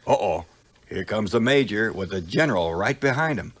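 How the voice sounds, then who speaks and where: A man's voice: fairly low pitch, moderate pace, breathy edge. A man playing a folksy frontier sidekick, telling his adventures with dry humor in a 1950s audio adventure. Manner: folksy, dryly humorous, animated. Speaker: narrator. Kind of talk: scripted first-person storytelling, 1950s audio adventure. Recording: 1950s record, music behind.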